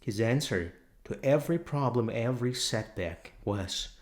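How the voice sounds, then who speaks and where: A man reading a classic novel aloud as narrator, in a measured storytelling manner with deliberate pauses. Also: mid-range voice, animated. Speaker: audiobook narrator. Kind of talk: scripted literary narration.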